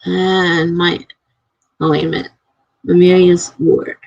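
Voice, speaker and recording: A woman's voice: lowish voice, little pitch movement, takes it slowly, creaky, a little mellow, no echo, muffled recording. A woman hosting a live stream, reading viewer comments aloud in a chatty way and laughing often.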